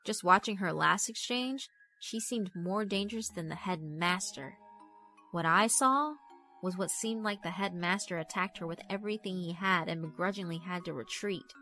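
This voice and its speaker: A woman's voice: lowish voice, moderate pace, pushed and bright. A woman reading scripted first-person narration for a horror visual novel. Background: background music.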